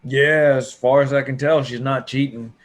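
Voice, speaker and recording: A man's voice: mid-range voice, steady tone, moderate pace, natural voice. A man playing a persistent survivor who pines for his friend's wife, coaxing and casual, in an online table read. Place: video call. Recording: noisy online video call.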